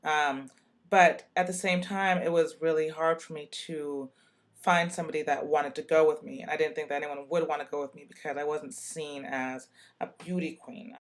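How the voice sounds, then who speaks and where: A woman with a deep voice casually telling a personal story in a recorded message to a youth project, in a relaxed, chatty way full of fillers. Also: normal speed. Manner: chatty, relaxed, many "like" and "you know" fillers.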